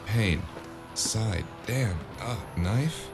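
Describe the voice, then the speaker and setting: A hardboiled brawler in a noir radio play, narrating his own fight in terse, clipped thoughts. The voice is low and gravelly with a slow delivery, dazed yet cocky. Loud music runs underneath. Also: expressive, exaggerated voice. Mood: dazed, grim. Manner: clipped, terse inner-monologue phrases. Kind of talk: first-person noir audio drama narration.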